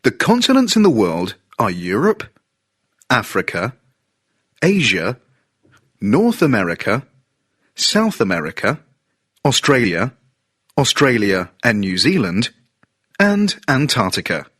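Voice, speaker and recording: A man narrating a vocabulary lesson in a slow, scripted way. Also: mid-range voice, wildly swooping pitch, a bit bright, breathy edge. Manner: slow, listing place names.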